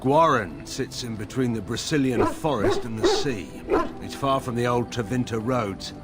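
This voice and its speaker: A proud middle-aged military man from a fantasy game, acted by a man, stern and weary. His speech is grave and formal with a dry edge, and his mid-pitched voice rises and falls widely. Music plays behind him. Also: sing-song, lightly acted. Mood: wistful, fond. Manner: grave, measured, formal, dryly sardonic. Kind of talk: dramatic fantasy game dialogue.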